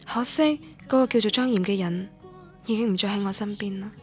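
A woman's voice: mid-range voice, a little fast, soft background music, natural voice. An actress playing a lonely young woman student, narrating her memories in Cantonese radio drama in a wistful, literary manner. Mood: wistful, reflective. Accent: Cantonese from Guangdong.

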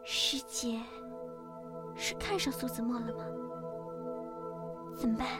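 A woman's voice: fairly high pitch, slow. A woman playing a clingy, menacing young junior assassin with girlish, possessive sweetness in a Chinese period audio drama. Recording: music behind the voice.

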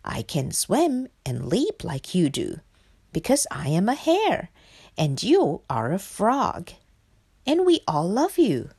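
Storybook hare, kind and calm, performed by a woman. Instructive, unhurried, reassuring delivery. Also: on the low side, creaky, husky, exaggerated voice. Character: kind, patient hare, a teacherly friend.

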